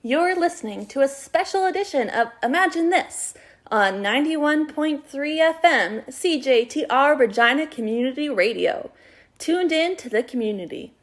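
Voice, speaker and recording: Woman instructor hosting a community radio special, high voice, clear scripted delivery. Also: animated, moderate pace.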